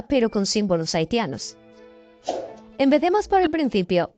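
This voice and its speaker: A woman reading a scripted news documentary narration in a clear, measured way. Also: medium pitch, darkish tone, no room sound.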